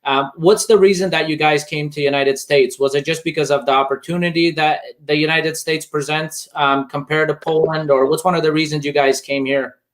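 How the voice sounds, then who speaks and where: Higher-voiced man hosting an interview. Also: steady tone, a little fast, few pauses. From Bosnia. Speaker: podcast host interviewing a guest. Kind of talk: conversational interview.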